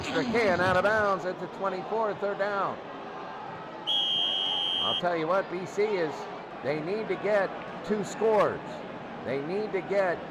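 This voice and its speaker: A man calling football play-by-play for radio in an excitable manner, often repeating phrases. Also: high voice. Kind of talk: radio sports commentary. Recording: loud noisy stadium crowd behind. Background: roaring crowd.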